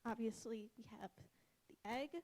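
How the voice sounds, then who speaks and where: A woman's voice: a little high, fairly even intonation, moderate pace, a little mellow. A young woman presenting her student design and answering questions in an earnest, accommodating way.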